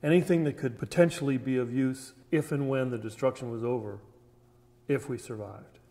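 A man's voice: medium pitch, normal speed, dark tone, lightly acted. A man playing a grave disaster survivor giving testimony in a radio drama, in a reverberant space. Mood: grave, reflective. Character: grave male disaster survivor recounting the catastrophe. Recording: long reverberant hall echo.

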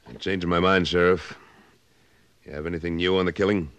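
A man's voice: sits low, fairly even intonation, a little fast, fairly bright tone, clean tone. An actor playing a tough cattle rancher and former cavalryman who talks in a blunt, pressing way in a 1950s radio western. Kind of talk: 1950s radio drama acting.

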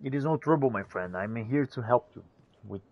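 A man streaming a game with casual in-character roleplay commentary, chatty and theatrical with a halting delivery. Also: mid-range voice, lively intonation, dull audio.

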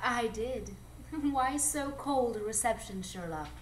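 A woman playing an alluring, ageless, mysterious adventuress in a live radio drama, speaking in a measured, coy, theatrical way. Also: fairly high pitch, animated. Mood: coy, teasing. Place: live performance space before an audience. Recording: noisy live stage recording.

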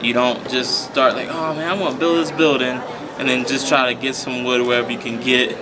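A man's voice: mid-range voice, steady tone, a little fast, background noise. A man in his twenties talking casually about faith in a group discussion. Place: large open indoor lounge. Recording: indoor chatter behind voice.

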